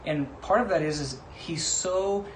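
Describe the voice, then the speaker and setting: A man's voice: fairly high pitch, unhurried. A man giving a casual spoken testimonial as a guest contributor. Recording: echoey room with faint noise.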